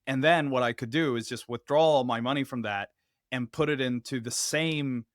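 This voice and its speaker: A man's voice: mid-range voice, normal speed, no echo, clean studio sound. A man hosting an interview, asking probing questions as if he were a worried listener. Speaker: podcast host. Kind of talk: conversational interview.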